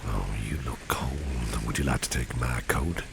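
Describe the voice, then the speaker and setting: A man acts out a gentlemanly, protective boss in a flirtatious ASMR roleplay. His voice is exceptionally low and heavily creaky, sinking into a breathy half-whisper. He takes his time with suave, courtly phrases while rain and street sounds are clearly heard behind him. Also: a little slow, full character voice. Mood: courtly, soothing. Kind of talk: ASMR roleplay spoken to the listener. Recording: steady rain and street ambience noise. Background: rain falling, passing vehicles.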